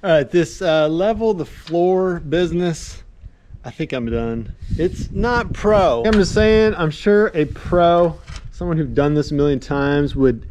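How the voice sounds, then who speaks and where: A man's voice: fairly high pitch, measured pace, darkish tone. A man in his forties, talking casually and self-deprecatingly to a handheld camera while working on a building project outdoors. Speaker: channel host. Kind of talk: casual DIY build vlog. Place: outdoors and in an open-sided barn on a gravel site. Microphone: action camera held at arm's length.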